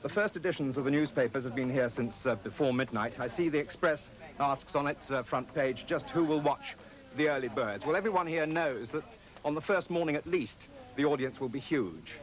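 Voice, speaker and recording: A man's voice: mid-range voice, normal speed, low-quality recording. A British man reading scripted narration as a television reporter.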